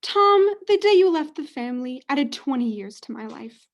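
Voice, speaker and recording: A woman's voice: high-pitched, lively intonation, moderate pace. A young woman acting in a radio play as a doting, haughty, oblivious mother with a gushing, melodramatic manner. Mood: smug. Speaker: student actor in radio play.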